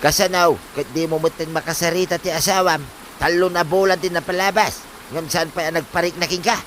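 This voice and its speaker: A man's voice: a little high, fairly even intonation, brisk, a bit bright, close to an everyday voice. A man acting a nagging father of a grown son in an Ilocano comedy drama, lecturing reproachfully with an Ilocano accent. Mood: questioning, concerned. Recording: faint background noise.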